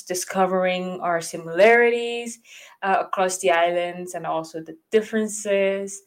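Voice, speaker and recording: Caribbean-accented woman hosting a podcast, kinda low voice, chatty and thoughtful, lots of ums. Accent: Dutch Caribbean. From Dutch Caribbean. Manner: thoughtful, chatty, many fillers. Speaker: podcast host. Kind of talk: casual podcast chat.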